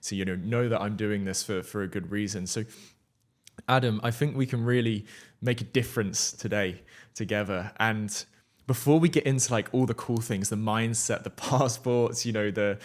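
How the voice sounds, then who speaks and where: A man hosting a casual podcast interview in a low voice. He speaks earnestly and encouragingly, stumbling over a few restarts as he talks. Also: normal speed. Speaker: podcast host.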